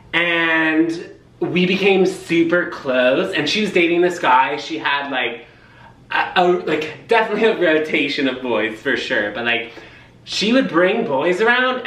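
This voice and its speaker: A man's voice: on the high side, sharp tone, voice pushed down. Man doing an effeminate, gushing guy, roomy room. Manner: chatty, peppered with "like". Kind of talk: comic character monologue. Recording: roomy kitchen, distant camera mic.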